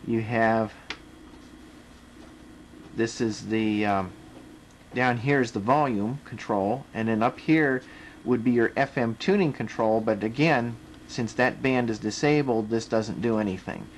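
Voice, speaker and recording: An American man presents a casual, unscripted product demonstration. He explains things step by step, pausing and restarting as he goes. The home camera recording carries faint background noise. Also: on the low side. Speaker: video presenter demonstrating a radio. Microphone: camera microphone.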